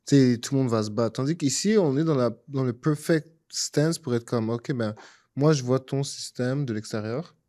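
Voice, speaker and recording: A man's voice: mid-range voice, moderate pace, warm tone. A man giving his views in a casual discussion, informally mixing in English words. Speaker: podcast panelist.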